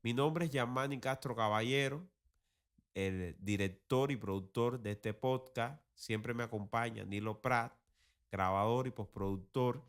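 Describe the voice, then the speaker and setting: Guy doing podcast commentary, slow n measured, kinda formal, mid pitched voice. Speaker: podcast host, director and producer.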